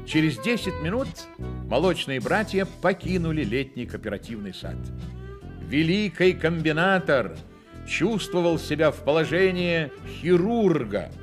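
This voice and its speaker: An actor playing a wry storyteller, narrating a satirical novel for a radio play in a measured literary manner. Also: male voice, a little high, big pitch swings, music in the background. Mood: wry narration.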